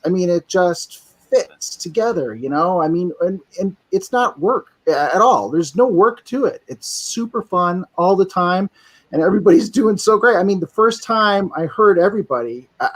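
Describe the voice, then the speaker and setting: A man guest on a podcast chatting casually and excitedly about working with the cast. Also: high-pitched, moderate pace. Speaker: voice actor guest.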